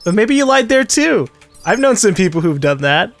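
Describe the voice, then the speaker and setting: A man's voice: sits high, sing-song, moderate pace, no echo, soft background music. A man hosting a casual gaming session, laid-back and teasing, laughing easily. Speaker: host.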